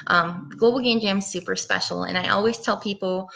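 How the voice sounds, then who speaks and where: A woman's voice: mid-range voice, steady tone, a little fast, creaky, fairly bright tone, rough recording. A woman in her thirties from Puerto Rico, giving a chatty talk as a game designer over a headset mic from a room at home. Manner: chatty, rambling with ums. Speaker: guest speaker, game designer. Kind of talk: casual presentation talk. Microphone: headset mic on video call.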